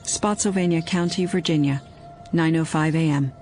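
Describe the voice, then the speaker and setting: A woman's voice: low-pitched, fairly even intonation, normal speed, darkish tone, soft background music, lightly acted. A woman playing an impersonal announcer of places and times in an audio drama, clipped and factual. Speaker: scene announcer.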